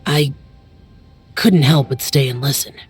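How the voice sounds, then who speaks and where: Reserved young immortal warrior. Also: male voice, mid-range voice, unhurried, husky, soft background music. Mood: earnest, shy. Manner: hesitant, serious, terse. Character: reserved, awkward young male demon-slaying immortal warrior. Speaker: voice actor. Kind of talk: video game dialogue acting.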